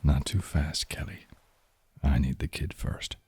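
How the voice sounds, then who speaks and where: A man voices a shadowy noir villain who talks in an extremely deep, unhurried murmur, partly whispered. Faint music plays softly underneath. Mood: cold menace. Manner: slow, soft, partly whispered villain speech. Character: cold shadowy male crime entrepreneur, calm and menacing. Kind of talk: ASMR noir roleplay.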